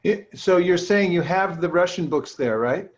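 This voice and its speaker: A man speaking to a group as a spiritual teacher, halting and repetitive, with many restarts. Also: sits high, expressive, muffled recording. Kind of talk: warm encouragement and questions to a group.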